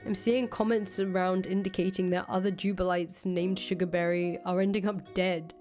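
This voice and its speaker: A woman voicing a jaded, blunt young fugitive in a visual novel, sardonic and quick to swear. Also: on the low side, level tone, darkish tone, lightly acted. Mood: exasperated, incredulous. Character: jaded, foul-mouthed young woman, fugitive ex-cult leader. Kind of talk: voiced reading of visual novel dialogue. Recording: music playing under the voice.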